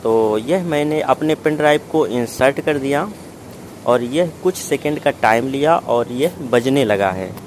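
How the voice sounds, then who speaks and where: A man's voice: medium pitch, expressive, measured pace, darkish tone. Man explaining step by step, noisy background. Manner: explanatory, methodical. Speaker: product reviewer. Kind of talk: step-by-step unboxing narration in Hindi.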